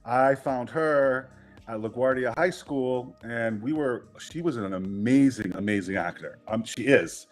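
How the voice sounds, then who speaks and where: A middle-aged man from Queens, New York, a guest in a casual interview, relaxed and candid, laughing often. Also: mid-range voice, a little slow.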